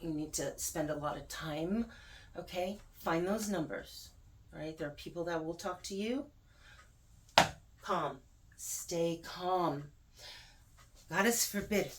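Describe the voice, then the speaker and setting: A woman's voice: fairly low pitch, fairly bright tone. A woman speaking as a video host, giving casual, emphatic advice straight to the viewer and repeating words for stress.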